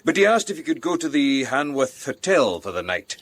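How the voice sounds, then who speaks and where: Husky Scottish police sergeant. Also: male voice, mid-range voice, big pitch swings, fairly quick, fairly bright tone. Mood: dry, dutiful. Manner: deferential, plain-spoken. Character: dour, respectful Scottish police sergeant, middle-ranking and practical. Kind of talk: radio drama acting.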